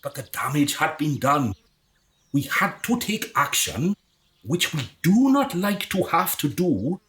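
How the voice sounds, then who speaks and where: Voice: medium pitch, very animated, unhurried, fairly bright tone. An actor as a pompous, swooping alien in human guise. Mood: smug. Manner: pompous, exasperated, condescending. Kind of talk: comic radio drama acting.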